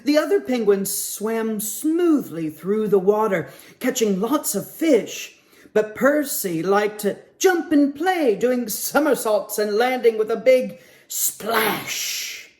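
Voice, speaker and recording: A man reading a picture book aloud at a children's story time, with a gentle, expressive manner. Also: sits high, slow.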